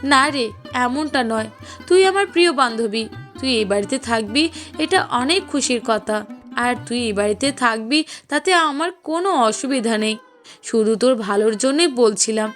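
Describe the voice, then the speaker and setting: A woman voices a caring young wife in a cartoon family drama. Her voice is high and sweet, with a coaxing, emotive delivery, over a music bed. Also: lively intonation, moderate pace, fairly bright tone. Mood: kindly advising. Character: loving young wife, expectant mother, caring and anxious. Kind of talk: cartoon dialogue acting.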